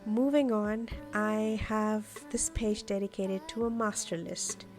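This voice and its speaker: A woman's voice: mid-range voice, fairly even intonation, slow pace, darkish tone. A woman whose native language is Urdu, giving a casual, explanatory voice-over as a craft vlogger walking through her journal pages. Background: music.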